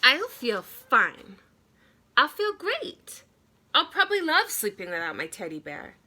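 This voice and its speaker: Lady doing a worried little kid from a storybook, protests a lot, bright and swoopy. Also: high-pitched, huge pitch swings, unhurried, pitched above the performer's own voice, full character voice. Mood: defiant. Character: Ira, anxious, protesting young boy.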